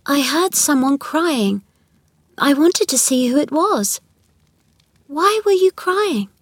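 A woman's voice: sits high, sing-song, takes it slowly, dry recording, studio quality, voice pushed up, full character voice. A woman voicing a bold, curious young girl in a children's storybook, forthright and inquisitive.

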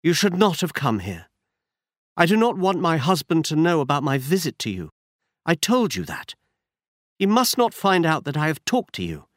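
A proud young noblewoman in distress, as a man puts her on, her voice raised high and swinging widely as she pleads and scolds. The sound is close and dry, with no room around it. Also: very animated, moderate pace, dry recording. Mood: pleading. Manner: pleading, indignant.

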